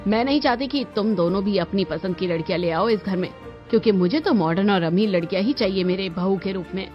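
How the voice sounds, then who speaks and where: A woman's voice: medium pitch, quick pace, muffled recording, faint music behind, lightly acted. Woman playing a scornful, bossy mother-in-law.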